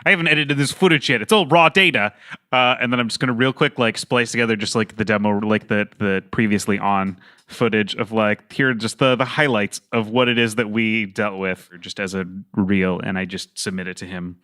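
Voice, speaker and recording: Male tabletop player, slightly low voice, casual improvised roleplay, explanatory. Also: steady tone, fairly quick, pushed and bright.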